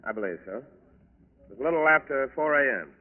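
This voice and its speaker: A methodical federal agent played by a male actor in a 1940s radio crime drama. He speaks in a medium-pitched, clipped, matter-of-fact voice on a thin, tinny broadcast recording. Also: animated, fast talker, close to an everyday voice. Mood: alert, brisk. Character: businesslike, methodical male FBI special agent.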